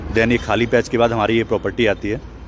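A man's voice: on the low side, little pitch movement, fairly quick, background noise. A man giving a walking property sales tour outdoors at a construction site, explaining things with many English terms mixed in. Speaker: real estate agent.

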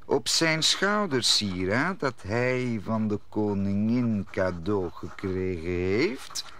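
Slow, scheming cardinal. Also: male voice, fairly low pitch, a bit bright, a little hiss or hum, full character voice. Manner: formal, commanding. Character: scheming, authoritative male cardinal. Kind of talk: radio play acting.

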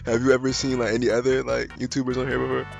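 A man's voice: mid-range voice, monotone, racing, vocal fry, muffled recording. A man of twenty casually flirting and joking in slang as he chats with strangers online from a room. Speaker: streamer chatting with strangers online. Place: small room, indoors. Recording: loud music behind voice.

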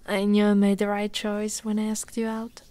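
A woman playing an affectionate, teasing lamia girlfriend in an ASMR roleplay, soft and cooing, often slipping into whispers. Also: medium pitch, completely flat delivery, normal speed, no echo, natural voice. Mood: doting, teasing.

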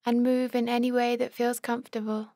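A woman leading a guided body scan meditation as an instructor, calm and measured, giving short, gentle-paced instructions. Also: fairly high pitch, no echo, clean studio sound.

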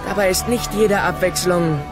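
A man plays a good-hearted young Viking in a cartoon audio drama. His voice is high and wryly self-deprecating, with music behind it. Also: steady tone, moderate pace, lightly acted. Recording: voice over music bed.

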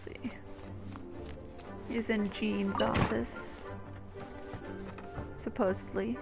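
A woman's voice: on the high side, little pitch movement, slow pace, vocal fry, over loud music. A woman giving casual gameplay commentary with dry, wry asides.

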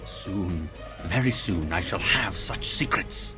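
An actor playing a pompous, obsessive vampire scholar villain in a video game, declaiming in grandiose archaic language. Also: male voice, low voice, expressive, takes it slowly, over music. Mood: grandiose scheming. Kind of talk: theatrical video game acting.

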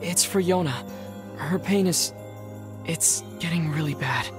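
A man's voice: fairly high pitch, unhurried, close to an everyday voice. Man playing an earnest teenage boy hero, music behind. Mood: worried, urgent. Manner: sincere, plain-spoken. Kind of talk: naturalistic game voice acting.

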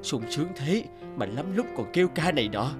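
A man's voice: on the high side, very animated, brisk, music in the background. A man voicing a disgruntled, condescending young husband and breadwinner in a cartoon. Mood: grumbling. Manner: grumbling, condescending. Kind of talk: animated moral-tale voice acting.

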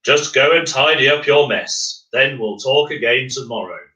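A man voicing a commanding male creator of the Earth in a storybook, with a big, loud, firm voice. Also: medium pitch, echoey room, far from the mic, natural voice, poor audio. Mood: reassuring. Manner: firm, slow, authoritative.

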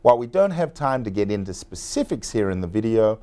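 A man in his thirties, a software trainer, narrating a scripted tutorial in a steady, instructional way. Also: fairly low pitch, a little fast.

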